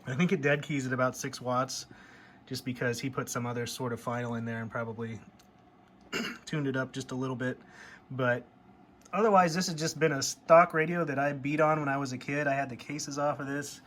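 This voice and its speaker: A man's voice: medium pitch, steady tone, moderate pace, fairly bright tone. A middle-aged American man chatting casually and nostalgically, in a rambling show-and-tell style. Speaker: hobbyist vlogger showing a CB radio.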